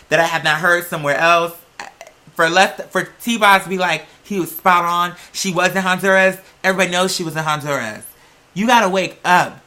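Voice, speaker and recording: A woman's voice: fairly low pitch, bright, forceful tone. A woman hosting a video, giving casual, blunt commentary to viewers with plenty of swearing and slang. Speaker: video host.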